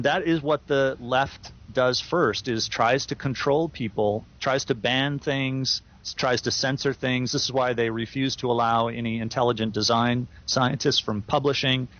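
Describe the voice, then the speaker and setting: A man's voice: medium pitch, a bit bright. A man co-hosting a podcast, giving firm opinions in a casual chat with fillers and restarts. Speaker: podcast co-host. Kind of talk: casual chat, reading listener email. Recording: dull lo-fi sound.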